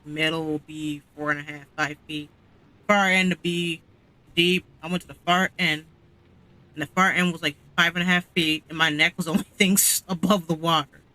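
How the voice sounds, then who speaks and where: A man's voice: on the high side, pushed and bright, low-quality recording. A young man, a game streamer, chatting casually. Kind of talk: casual chat.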